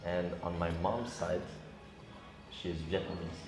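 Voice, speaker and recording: A young man from Senegal, a guest DJ, talking casually in an interview with many ums and pauses. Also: low voice, music in the background. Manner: relaxed, hesitant, many ums and uhs. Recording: echoey room with background noise.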